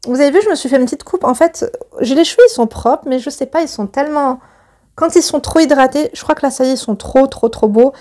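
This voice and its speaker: A woman's voice: sits high, fast talker, dark tone. A woman in her thirties chatting to her viewers and reading a letter aloud, gushing and full of fillers and restarts.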